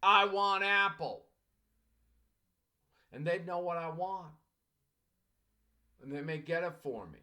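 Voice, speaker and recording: A man's voice: a little high, measured pace, long pauses. A man teaching a college English class in a conversational, repetitive lecture, often addressing the class directly.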